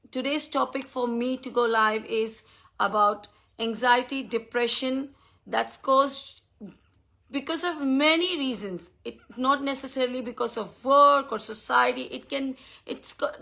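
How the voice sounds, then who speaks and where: A woman in her fifties from India, whose first language is Hindi, talking earnestly on a live stream, often pausing on um and uh. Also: a little high, gravelly, bright, forceful tone. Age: 50s. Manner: earnest and thankful, with frequent ums and uhs. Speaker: host of a live talk. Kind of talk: personal talk on a social media live stream. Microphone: phone or computer mic on a live stream.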